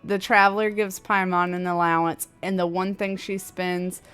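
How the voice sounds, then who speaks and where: A young adult woman giving casual live-stream game commentary, chatty, slangy and quick to swear and react. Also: lowish voice, little pitch movement, a bit bright, smooth. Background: game music.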